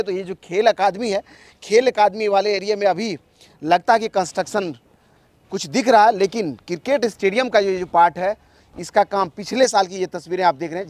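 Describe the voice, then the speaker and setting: A man's voice: high-pitched, darkish tone, no echo. A man narrating an on-site video report in a conversational way, repeating his points and restarting phrases.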